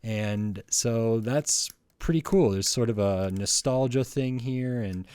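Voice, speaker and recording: A middle-aged man giving a casual hands-on review, speaking in a halting, hesitant way with frequent pauses. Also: medium pitch, a little mellow, clean tone, no echo. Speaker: toy review channel host.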